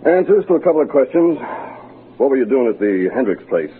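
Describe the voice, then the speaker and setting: An actor in 1940s radio style playing a middle-aged sheriff's detective, casual but pushy and needling. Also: male voice, medium pitch, very animated, fairly quick, close to an everyday voice. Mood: insistent, needling. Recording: muffled, noisy 1940s radio recording.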